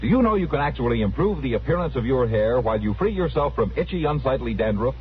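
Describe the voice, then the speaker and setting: A man's voice: medium pitch, big pitch swings, fast talker, a little hiss or hum. A man announcing on 1950s radio in a polished, declamatory scripted style. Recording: dull vintage radio recording with music.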